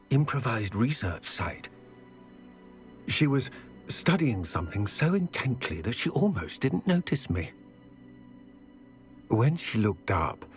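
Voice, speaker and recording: A man playing a grave, weary goblin in a video game, speaking in a formal, measured, wordy way about serious matters. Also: mid-range voice. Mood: reflective. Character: grave, weary male goblin, wary of wizards. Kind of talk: video game cutscene acting.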